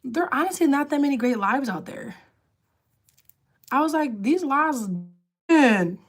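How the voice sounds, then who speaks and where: A woman with a raspy, lower alto voice, hosting a casual livestream and chatting with viewers as she answers their comments. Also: lively intonation, unhurried. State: raspy voice from illness. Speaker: livestream host.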